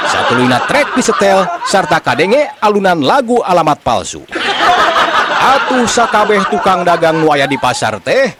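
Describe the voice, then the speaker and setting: A man with a Sundanese accent telling a comic folk tale in an animated storytelling style. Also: on the high side, very noisy.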